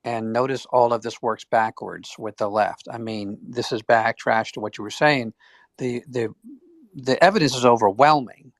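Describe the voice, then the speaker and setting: A man's voice: mid-range voice, fairly even intonation, moderate pace, dry recording, clean studio sound. A man joining a live audio chat to make an opinionated point, arguing it step by step.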